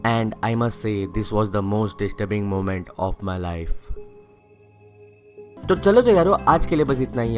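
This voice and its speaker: Man narrating a spooky story. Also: mid-range voice. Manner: steady storytelling, mixing in English phrases. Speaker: narrator and channel host. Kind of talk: first-person horror story narration.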